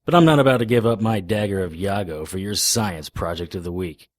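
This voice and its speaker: Tough, sardonic male occult detective for radio drama man acting; deep, dry-recorded, gruff and guarded. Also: a little fast, no echo, natural voice. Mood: guarded, irritated. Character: tough, wry male occult investigator, narrating his own cases. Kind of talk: hardboiled radio drama dialogue and narration.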